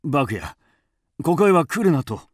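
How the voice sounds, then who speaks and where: A man's voice: fairly high pitch, very animated, moderate pace, slightly rough, dry recording, studio quality. A man playing a loyal, driven master swordsmith, earnest and intense, in a radio drama. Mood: obsessed, weary.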